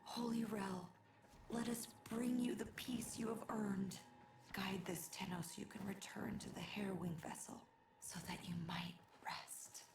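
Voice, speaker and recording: A woman playing a solemn, reverent mystic priestess in a game drama, hushed and measured with a breathy, creaking voice. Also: medium pitch, steady tone. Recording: echoey, music behind.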